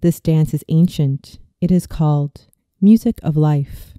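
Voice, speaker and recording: A meditation narrator in their thirties with a deeply mellow, soft-edged tone and a medium pitch. The delivery is soothing and deliberate, reading a scripted visualization. The sound is dry and close, with hardly any room. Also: no room sound. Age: 30s.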